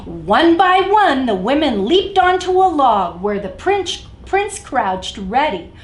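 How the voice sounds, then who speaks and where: Woman, children's storyteller, high pitch, slow delivery, expressive, direct to kids, echoey room. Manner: expressive, addresses young listeners directly. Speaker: children's librarian, story time host. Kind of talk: animated read-aloud storytelling for children. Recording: echoey room, faint background noise.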